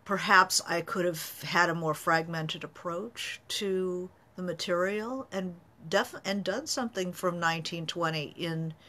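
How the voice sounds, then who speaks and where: A woman's voice: fairly low pitch, sharp tone. A middle-aged woman, a novelist, talking casually on a live stream from her home study, thoughtful with many fillers. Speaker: novelist answering writing questions.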